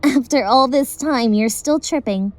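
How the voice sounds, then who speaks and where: A woman's voice: high voice, unhurried, a little hiss or hum. A woman playing a hopeful young female adventurer in an amateur audio drama. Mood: teasing.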